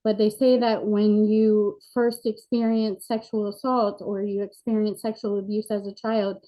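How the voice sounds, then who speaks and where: A woman's voice: mid-range voice, flat delivery, moderate pace, mellow. A woman in her forties speaking as an interview guest, earnest and reflective, with frequent fillers, over a video call. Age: 40s. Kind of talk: candid personal interview. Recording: dull lo-fi sound.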